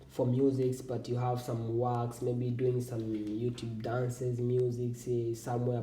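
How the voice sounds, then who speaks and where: A man from Tanzania whose first language is Swahili, hosting a walkthrough of a scholarship application form in halting, self-correcting English. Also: medium pitch, warm tone, roomy sound, far from the mic, rough recording. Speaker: scholarship tutorial host. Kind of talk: screen-recording walkthrough.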